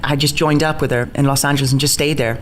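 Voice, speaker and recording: A man from the north east of England with a mixed accent, part worn-down Geordie and part American, chatting as an interviewed stage actor, quick and excitable, laughing often and restarting his sentences. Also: medium pitch, slight background noise. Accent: mixed, mostly neutralised Geordie with American touches. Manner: rapid, bubbly, stumbling restarts, laughs often. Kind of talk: casual interview chat.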